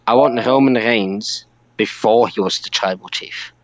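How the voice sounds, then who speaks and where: A man's voice: fairly low pitch, unhurried, no echo, low-quality recording. A man co-hosting a podcast, chatting casually about wrestling. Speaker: podcast co-host.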